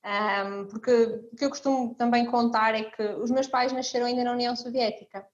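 A woman's voice: a little high, steady tone, brisk, lo-fi sound. Ukrainian-born woman. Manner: reflective, speaks in short clauses with frequent "não é?". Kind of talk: casual interview conversation.

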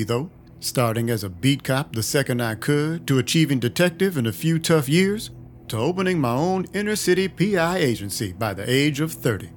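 An actor playing a world-weary vampire detective, narrating an audio drama in dry, deadpan noir style. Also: male voice, mid-range voice, lightly acted. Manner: wry, deadpan. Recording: music playing behind the voice. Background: background music.

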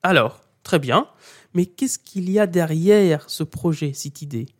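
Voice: medium pitch, expressive, unhurried. A language teacher giving a didactic talk, speaking straight to the learner and insisting on key points by repeating them. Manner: speaks directly to the listener as "tu". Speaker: Arabic teacher.

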